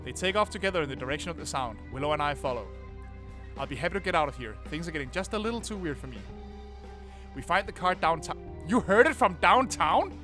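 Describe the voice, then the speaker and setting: A man's voice: a little high, quick pace, music in the background. A young man hosting a let's play, reading the game text aloud and reacting with swearing and laughter. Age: young adult. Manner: animated, swears and laughs often. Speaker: gaming channel host.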